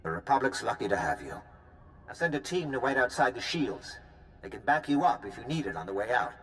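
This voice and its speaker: A man voicing an earnest, hard-pressed field soldier in a video game, brisk and plain-spoken. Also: mid-range voice, big pitch swings, fairly bright tone.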